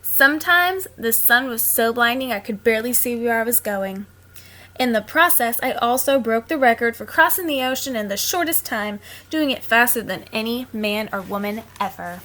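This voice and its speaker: A woman's voice: fairly high pitch, moderate pace. A woman reading picture books aloud to children like a teacher, expressively, with simple casual asides. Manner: expressive, simple explaining to young listeners.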